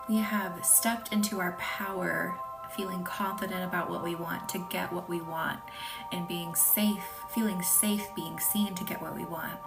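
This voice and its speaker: A woman from the American South hosting a live reiki session, talking softly and often in a half-whisper, hesitant and full of fillers as she answers viewers' comments. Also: mid-range voice, creaky. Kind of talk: live-stream energy healing with viewer chat. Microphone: phone live stream. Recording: distant mic with music behind.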